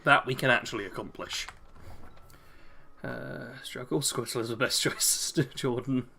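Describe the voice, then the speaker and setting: Bright-voiced British man streaming a game. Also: mid-range voice, a little slow, vocal fry, slight background noise. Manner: chatty, chuckles often, answers chat. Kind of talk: casual live-stream commentary, reading chat aloud.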